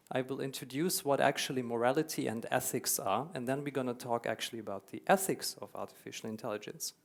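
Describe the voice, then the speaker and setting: A man with a German accent delivers a keynote to a packed conference hall. His voice sits at a middling pitch, and he explains ideas earnestly, often doubling a word to stress it. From Hungary, raised in Germany. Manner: earnest, explanatory, repeats words for emphasis. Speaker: keynote speaker.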